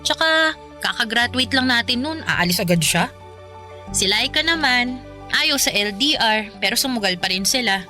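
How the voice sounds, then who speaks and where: A woman's voice: on the high side, expressive, moderate pace, sharp tone, faint music behind. A young woman with a Filipino accent playing a candid, ambitious, playful girlfriend in a conversational radio drama. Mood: chatty, admiring. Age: young adult.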